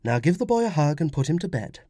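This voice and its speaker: A man voicing a young Roman mother in an audiobook, firm, fretful and gently insistent. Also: fairly high pitch, sing-song, quick pace, no echo, clean studio sound, pitched above the performer's own voice. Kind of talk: audiobook character dialogue.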